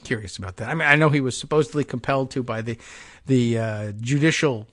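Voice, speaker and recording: A man's voice: medium pitch, fast, fairly bright tone, no room sound, studio quality. A man hosting a political talk show, reading news passages aloud and breaking off into sardonic, rambling asides. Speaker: political podcast host.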